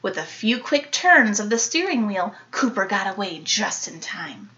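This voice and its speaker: A woman reading a children's picture book aloud like an elementary teacher, animated, with dramatic emphasis and playful sound-effect words. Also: mid-range voice, a little slow, lo-fi sound, slight background noise.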